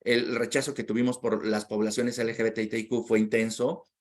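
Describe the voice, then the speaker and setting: A man's voice: medium pitch, little pitch movement, moderate pace. A man as an interview guest, telling a personal story in a heartfelt, reflective way and often repeating words while he searches for them.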